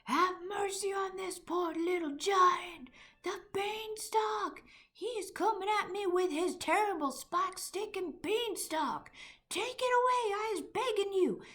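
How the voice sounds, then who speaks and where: A woman voicing a huge male giant who screams and pleads in the grip of a nightmare, in a shrill, high voice. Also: a bit bright, exaggerated voice.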